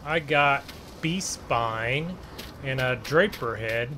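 A man giving casual gameplay commentary in a conversational, unhurried way with trailing pauses. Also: medium pitch, lively intonation, background noise. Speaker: gaming video host.

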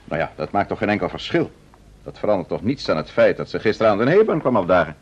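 An actor with a Netherlands Dutch accent playing a composed, evasive amateur detective in an old-fashioned radio play, courteous and measured. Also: male voice, low voice, sing-song, slightly rough, heavily acted. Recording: dull, muffled old radio recording.

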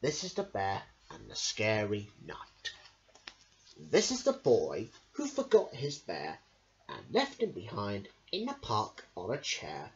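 A young adult reading children's picture books aloud in an emphatic, deliberate way. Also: mid-range voice, huge pitch swings, a little hiss or hum. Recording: dull lo-fi camera sound.

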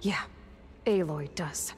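A woman's voice: sits low, slow, music in the background. A woman playing a determined, sardonic young warrior heroine in a video game, questioning in a dry, pressing manner. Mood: firm defiance. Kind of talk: video game dramatic acting.

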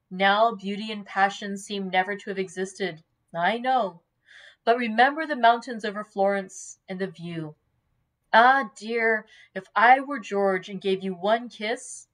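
A woman reading a novel in the voice of an earnest, tender old man who is quick to heat up. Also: medium pitch, lightly acted, rough recording. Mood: impassioned. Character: impassioned saintly old man, tender, hot-tempered, earnest.

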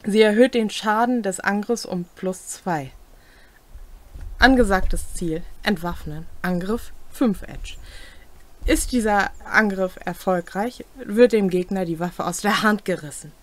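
A young adult woman, co-hosting and reading game rules aloud in a deliberate way with brief comments. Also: medium pitch. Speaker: co-host explaining tabletop game rules. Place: small home room, indoors.

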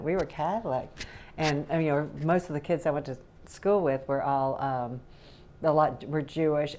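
Chatty woman with low voice. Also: a little mellow. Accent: faint Southern US. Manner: rambling, chatty, laughs often. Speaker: interviewee. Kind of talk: casual recollection. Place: home kitchen, indoors.

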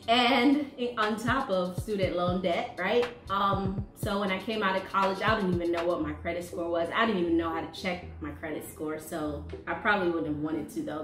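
A woman in her thirties, raised in the United States, tells personal stories in a lively way and laughs often. Her voice sits at an ordinary middle pitch and picks up echo from a small room at home. Quiet music runs underneath. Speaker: co-host of a married couple's vlog. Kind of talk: casual chat and personal storytelling. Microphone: desk condenser mic, wearing headphones. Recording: echoey room.

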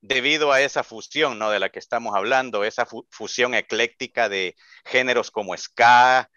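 Bright, polished male interview host. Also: mid-range voice, moderate pace, clean tone, no room sound, lo-fi sound. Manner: polished, formal phrasing. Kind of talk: formal interview questions.

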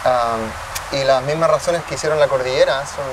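A man's voice: mid-range voice, fairly even intonation, brisk. A man in his thirties with a Chilean accent, giving reflective interview answers in a city park and often restarting his phrases. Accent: Chilean Spanish. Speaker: guest, tech cofounder. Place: outdoor park under a tree, city. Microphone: distant camera mic. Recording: distant mic, city traffic noise.

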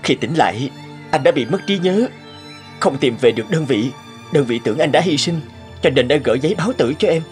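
Halting, earnest returned soldier played by a man. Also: fairly high pitch, lively intonation, natural voice. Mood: shaken, sorrowful. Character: sad, self-sacrificing adult man, returned war soldier. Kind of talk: Vietnamese cartoon voice acting. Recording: music behind the voice.

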